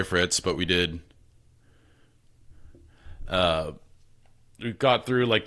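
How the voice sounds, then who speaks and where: A man streaming games from a small spare room at home, chatting casually and self-deprecatingly with viewers. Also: sharp tone. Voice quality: low voice. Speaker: gaming streamer. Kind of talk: casual live-stream chat. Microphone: desk mic. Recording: slightly tinny home stream mic, faint noise.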